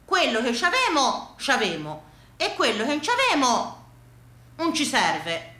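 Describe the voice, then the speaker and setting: A woman's voice: high voice, wildly swooping pitch, slow pace, sharp tone, echoey room, pitched above the performer's own voice, exaggerated voice. A woman voicing a stubborn, thrifty old Tuscan peasant grandfather with emphatic, proverb-like pronouncements in a radio monologue. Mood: firm. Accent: Tuscan Italian.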